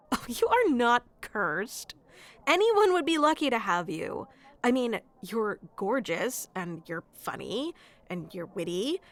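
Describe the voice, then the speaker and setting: A woman playing a sweet, flustered florist secretly in love with a best friend, in an intimate audio roleplay with a hesitant, soft-hearted manner. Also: medium pitch. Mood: tender. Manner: hesitant, soft-hearted, breaking into nervous chuckles and sighs. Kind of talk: intimate ASMR roleplay acting.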